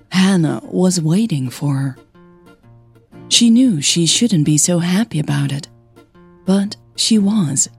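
A woman hosts and narrates a scripted fiction podcast. Her voice sits fairly low with a husky grain, and she takes her time, telling the story in measured phrases. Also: darkish tone.

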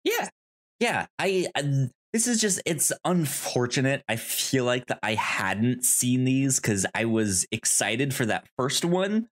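Casual podcast host with a halting delivery. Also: mid-range voice, very animated. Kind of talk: casual review chat.

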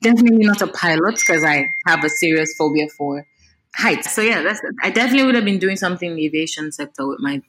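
A woman's voice: lowish voice, quick pace, low-quality recording. A Nigerian woman in her thirties, a singer talking expressively and animatedly in a casual interview. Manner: expressive, animated, repeats words while thinking.

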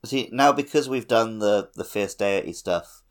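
A man's voice: on the low side, a little slow. A man co-hosting a casual podcast conversation about a video game. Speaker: podcast co-host. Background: background music.

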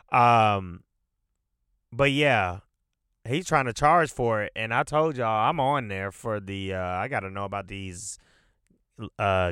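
A man with a stuffed-up nose hosts a podcast on his own, chatting loosely, filling the gaps with "um" and "uh," and cursing freely. He talks at an unhurried pace in a smooth, resonant voice. His voice is recorded close and dry, with no room sound. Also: lowish voice, bright, forceful tone, no echo. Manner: loose, profane, rambling with fillers. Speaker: podcast host. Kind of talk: casual solo podcast chat.

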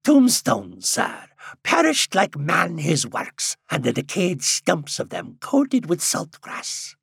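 A man voicing a frail, excitable, pious old seaside man in a story, with archaic rustic speech and drawn-out exclamations. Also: a little high, gravelly, breathy edge, no echo, clean studio sound, exaggerated voice. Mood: ominous, preachy.

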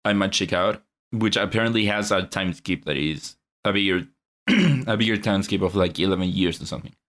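A man with a very deep voice joins the podcast chat with quick, offhand remarks. His delivery is casual and relaxed. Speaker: podcast co-host.

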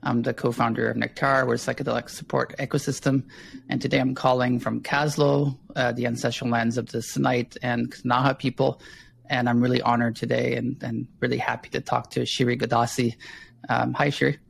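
Gravelly-voiced man hosting a podcast. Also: mid-range voice, little pitch movement, fairly quick, a little hiss or hum. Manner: friendly and meandering, with frequent ums and restarts. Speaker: podcast host.

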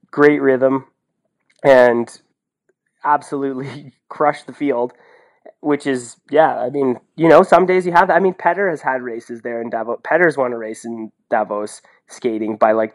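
Man, Canadian accent, mid-pitched voice, animated, opinionated, casual sports podcast commentary. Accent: Canadian English. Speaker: podcast co-host and ski commentator.

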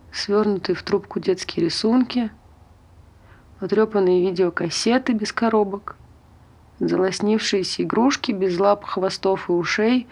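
Woman narrator for an audio play, reading story prose in short measured phrases, medium pitch. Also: darkish tone, husky. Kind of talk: scripted literary narration.

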